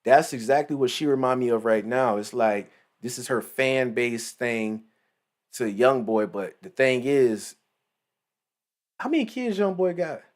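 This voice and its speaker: A man's voice: mid-range voice, animated, measured pace. A man in his late twenties giving rambling, slangy casual commentary with lots of asides. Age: 20s. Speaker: commentary channel host.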